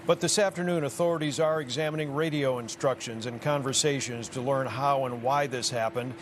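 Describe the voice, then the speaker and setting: An American man giving a scripted investigative news report with a measured, emphatic broadcast delivery. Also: mid-range voice, fairly even intonation, slight background noise.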